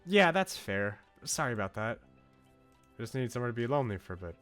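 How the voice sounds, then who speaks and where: A man voicing a wistful, gentle young woman from a visual novel, in a musing manner. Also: mid-range voice, big pitch swings, quick pace. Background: game music.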